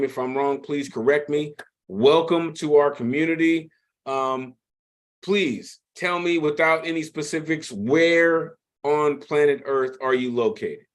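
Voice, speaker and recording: A man's voice: on the high side, a bit bright. A man hosting an online poetry open mic, effusive and emphatic, with slangy talk and repeated phrases. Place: online video call.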